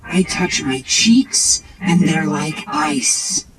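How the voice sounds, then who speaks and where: A man voicing the vengeful ghost of a drowned young girl, speaking slowly and hauntingly over music. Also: on the high side, lively intonation, pitched above the performer's own voice, rough recording. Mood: mournful.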